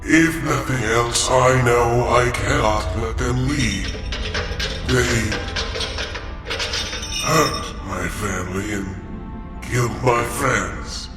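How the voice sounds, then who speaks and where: A man voicing a kind, sorrowful old male dragon bound as a guardian, speaking softly and slowly with sad pauses in an audio drama. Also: medium pitch. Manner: slow, soft and kindly, with sad pauses. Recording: roomy reverb with music under it.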